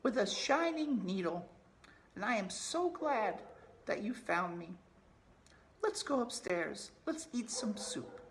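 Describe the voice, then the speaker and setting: A man voicing a gentle, earnest young princess in a storybook. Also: sits high, big pitch swings, takes it slowly, slight background noise, voice pushed up, heavily acted. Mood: tender.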